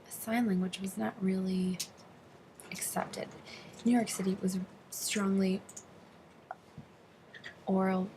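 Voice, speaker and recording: A woman working as an interpreter, voicing an interview answer in the first person, phrase by phrase with halting delivery. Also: medium pitch, darkish tone, slight background noise, rough recording. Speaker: sign language interpreter voicing a deaf interviewee.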